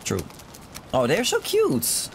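A man's voice: high voice, huge pitch swings, takes it slowly, creaky. A man in his thirties, a streamer hosting a casual chat while gaming, talking into a headset, chatty and laughing easily. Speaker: streamer, host. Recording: noisy game stream audio.

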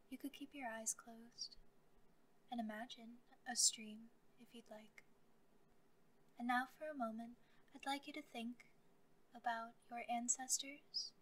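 A woman leading a guided meditation, speaking gently and calmly as she gives soft scripted instructions. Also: a little high.

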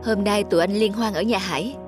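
A man's voice: sits high, brisk, over music, natural voice. A man voicing a discontented young husband in a cartoon, envious, grumbling and easily irritated. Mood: discouraged, envious. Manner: grumbling, complaining. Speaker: voice actor. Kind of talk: cartoon dialogue dub.

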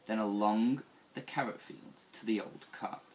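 A young man reading a picture book aloud to children in an expressive, deliberate storytelling style. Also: lowish voice, a little hiss or hum. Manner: animated, deliberate storytelling delivery. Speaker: school staff member reading to young children.

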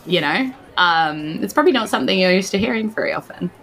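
A woman's voice: mid-range voice, animated, fast, a bit bright. A woman with a New Zealand accent improvising tabletop game narration as game master, in an informal, conversational manner. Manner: informal, conversational, many "you know"s.

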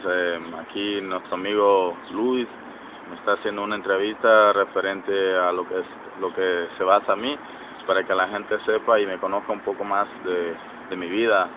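Young man, from nicaragua, reggaeton artist, hesitant, outdoors, lo-fi, street noise. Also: sits low. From Nicaragua, Atlantic coast. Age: 20s. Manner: hesitant, many fillers and restarts. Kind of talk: casual interview answers. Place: outdoors by a sports field in a city.

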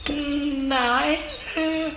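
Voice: high voice, steady tone, extremely slow, exaggerated voice. An actor playing a grumpy, timid, easily outraged male character in a children's cartoon audio play, hesitant and fussy. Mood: grumbling. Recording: roomy, noisy old cassette.

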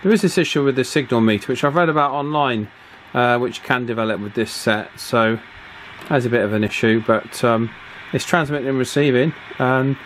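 A man's voice: mid-range voice, a little fast, fairly bright tone, a little hiss or hum. A middle-aged man giving an informal hands-on repair commentary, hesitant and thinking aloud as he goes. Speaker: radio repair technician and presenter. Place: small indoor workshop, at the bench.

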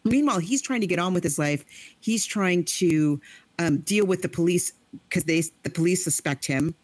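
Chatty low-voiced woman podcaster. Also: moderate pace, darkish tone, slightly rough, no room sound. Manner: chatty, acts out quoted lines in playful voices. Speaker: podcast co-host. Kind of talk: casual book discussion.

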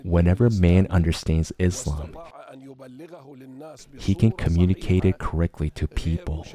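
A man reading a scripted religious talk as a voice-over translation, in a measured, formal manner. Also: low-pitched, dark tone.

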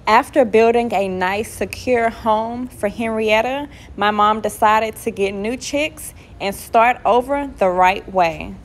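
Woman narrating over noticeable background noise. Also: medium pitch. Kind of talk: scripted narration.

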